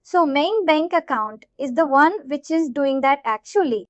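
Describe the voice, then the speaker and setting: High-pitched Indian woman teaching, dull audio. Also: animated, measured pace, no echo. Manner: repetitive, checks that listeners follow. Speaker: software instructor. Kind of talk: informal live tutorial explanation.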